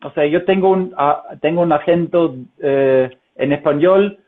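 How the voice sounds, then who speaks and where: Halting man, tinny call audio. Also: a little high. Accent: Castilian Spanish, learned as a second language. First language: German. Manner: hesitant, many fillers and restarts. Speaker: interview guest, translator. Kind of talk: conversational interview. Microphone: video call.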